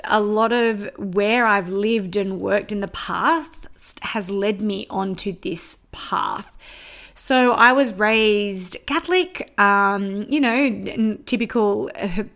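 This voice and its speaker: An Australian woman in her thirties hosting a podcast, telling her personal story in an earnest, open way with soft fillers. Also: mid-range voice, gravelly. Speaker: podcast host.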